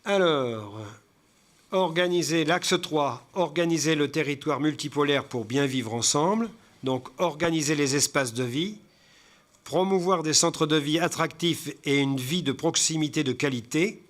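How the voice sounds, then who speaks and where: A man giving a formal report as a local councillor, reading out points haltingly, stumbling and repeating words as he goes. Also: fairly high pitch, fairly bright tone. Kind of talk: formal presentation read from slides with spoken asides.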